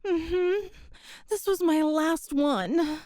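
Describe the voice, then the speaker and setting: A young cat-girl burglar, played by a woman, sheepish and flustered, answering back when caught. The voice sits high and the words come slowly and unhurried. It is recorded close and dry, with no room sound. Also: a little mellow, no echo. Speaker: ASMR roleplay performer. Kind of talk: ASMR audio roleplay.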